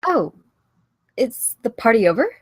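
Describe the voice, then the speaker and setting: Woman reading a slow, higher-voiced curious wife. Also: sing-song, breathy edge, no room sound, studio quality, full character voice. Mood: wry. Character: curious, wry married woman new to swinging. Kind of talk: screenplay table read.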